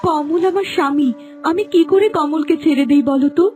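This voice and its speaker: Woman acting long-suffering captive wife, high pitch, plaintive, resigned, sorrowful, cartoon melodrama, music underneath. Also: brisk, darkish tone, natural voice. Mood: sorrowful, pleading.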